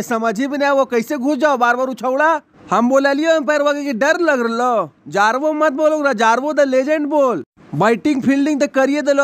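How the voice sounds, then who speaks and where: A man's voice: extremely high pitch, no room sound. A man dubbing comic lines over cricket press-conference clips, rambling and joking in a with a close mic. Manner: joking, rambling dialect banter. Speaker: comedy dubber.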